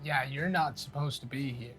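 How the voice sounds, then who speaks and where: A man's voice: mid-range voice, normal speed, a bit bright, soft background music. A man taking part in a tabletop game, switching between in-game dialogue and casual chat.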